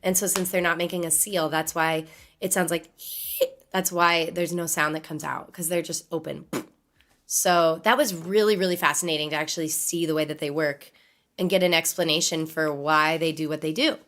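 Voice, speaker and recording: Hoarse, low-pitched woman chatting on stream. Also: fairly even intonation, moderate pace, a bit bright. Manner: chatty, rambling, answers viewer comments. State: hoarse from laryngitis. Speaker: musician livestreamer. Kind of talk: casual chat with stream viewers.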